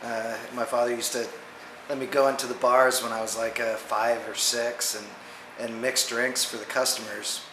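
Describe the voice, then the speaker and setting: An American man from Texas, a musician talking casually and haltingly in a band interview, often repeating himself. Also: medium pitch, level tone. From Dallas, Texas, USA. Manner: rambling, halting, repeats words. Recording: roomy echo, faint noise.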